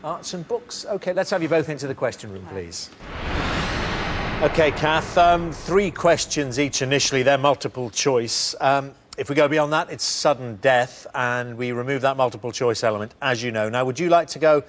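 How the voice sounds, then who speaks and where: A man's voice: medium pitch, normal speed, slight background noise. A man hosting a television quiz show, presenting and putting questions to contestants. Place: TV studio. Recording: faint music bed behind. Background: music.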